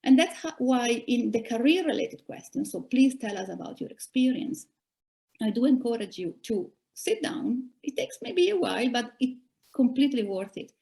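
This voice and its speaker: A woman in her forties from Italy with an Italian accent, giving measured career advice as a coach in a webinar talk. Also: fairly high pitch, animated, creaky, a little mellow, rough recording. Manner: measured, advisory, addresses listeners directly. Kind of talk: webinar presentation.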